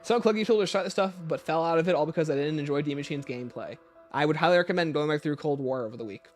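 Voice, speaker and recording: A man's voice: a little high, racing, faint music behind. A man hosting a livestream, explaining and answering viewer questions off the cuff. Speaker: livestream host.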